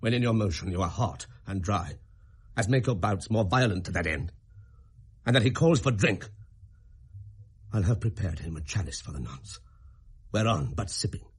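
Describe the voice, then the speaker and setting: An actor with a British accent playing a calculating, murderous middle-aged king in a Shakespearean radio drama, speaking in a measured, plotting way. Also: sits low, animated, breathy edge, exaggerated voice. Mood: excited scheming.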